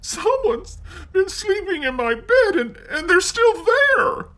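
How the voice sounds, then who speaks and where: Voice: extremely high pitch, very animated, slow pace, a bit bright, pitched above the performer's own voice, heavily acted. A storyteller voicing a little baby bear in a storybook, with a teeny tiny, crying voice. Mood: upset.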